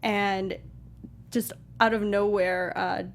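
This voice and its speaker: A woman's voice: medium pitch, fairly even intonation, slow pace, a little hiss or hum. A woman co-hosting a film review show, chatting casually about movies.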